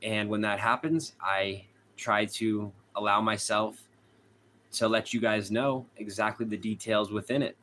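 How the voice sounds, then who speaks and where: A man's voice: lowish voice, fairly even intonation, a little slow, sharp tone, a little hiss or hum. A young man with a Boston accent hosting a casual true crime livestream, chatting with viewers, reading their comments aloud and laughing often. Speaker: true crime channel host. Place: hot room at home.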